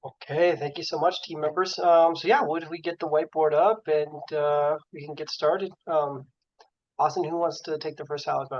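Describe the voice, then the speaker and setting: An upbeat man co-hosts a medical case discussion, reasoning aloud with frequent fillers. His voice sits fairly high for a man. The video call audio is dull and lo-fi. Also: level tone, normal speed. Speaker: co-host of a case conference, physician. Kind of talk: casual hosting and clinical reasoning.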